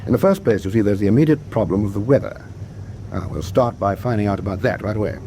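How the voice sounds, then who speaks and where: An actor playing a middle-aged British warship captain in a wartime radio drama, reflective and kindly, with measured, clipped officer speech. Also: male voice, on the low side, warm tone, a little hiss or hum, lightly acted. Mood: businesslike.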